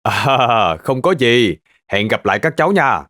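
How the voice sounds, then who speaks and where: Cartoon farm owner, played by a man: friendly adult, animated delivery, gently explains facts to kids. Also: medium pitch, unhurried. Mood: friendly, instructive. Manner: patient, explanatory, addressing children gently. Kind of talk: children's cartoon dubbing, educational.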